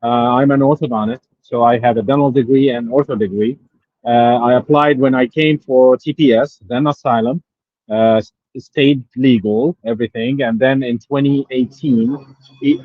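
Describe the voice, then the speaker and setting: A man from Syria calling in to a show, telling his case in a halting way, with many pauses and fillers. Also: mid-range voice, no echo, narrow, tinny audio. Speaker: caller. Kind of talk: phone-in question.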